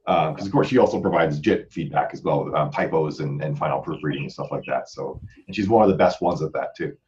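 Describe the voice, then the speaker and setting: A man with a very deep voice chats casually as a podcast host. He talks quickly and at length, rambling from point to point. The sound is slightly dull. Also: darkish tone, slight background noise. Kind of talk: casual group podcast chat.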